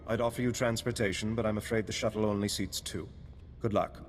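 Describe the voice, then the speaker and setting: A man's voice: fairly even intonation, brisk, lightly acted. A man playing a shrewd, self-interested, coolly bargaining negotiator in a video game. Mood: smug, measured. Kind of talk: scripted video game cutscene acting. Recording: hall reverb with faint rumbling noise.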